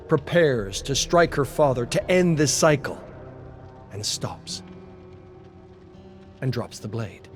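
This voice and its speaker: A man delivers dramatic story narration at a slow pace. His manner is solemn and deliberate, and soft music plays behind him. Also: medium pitch.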